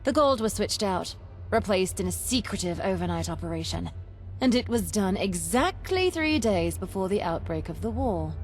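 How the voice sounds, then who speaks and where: An eccentric young female treasure hunter for a video game. Her delivery is highly animated and chatty, full of boastful, dramatic emphasis. Quiet music plays behind her. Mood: conspiratorial excitement. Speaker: game companion character. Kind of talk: theatrical video game voice acting.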